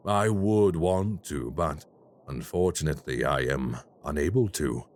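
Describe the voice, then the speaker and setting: A calm, formal crime boss in an audio roleplay. His voice sits very low and he keeps every word polite and measured, all business. The recording is clean. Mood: courteous.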